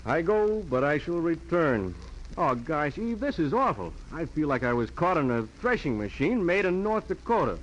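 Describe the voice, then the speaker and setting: Lively, hapless young man, dull radio sound. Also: on the high side, moderate pace. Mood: dismayed. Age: young adult. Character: hapless, mild, wry young younger brother. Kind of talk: 1940s radio acting. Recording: dull, noisy 1940s radio recording.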